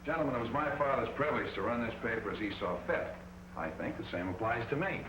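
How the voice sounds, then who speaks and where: An actor playing a confident playboy newspaper publisher in a 1940 film serial, breezy, clipped and self-assured. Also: male voice, mid-range voice, expressive, fast talker, noisy background, natural voice. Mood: firm.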